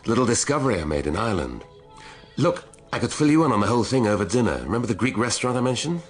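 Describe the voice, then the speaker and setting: An actor playing a wry, brooding middle-aged English police detective in a radio drama, dry and thoughtful. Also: male voice, medium pitch, animated, racing, soft background music, close to an everyday voice. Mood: eager, teasing. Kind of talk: naturalistic radio drama acting.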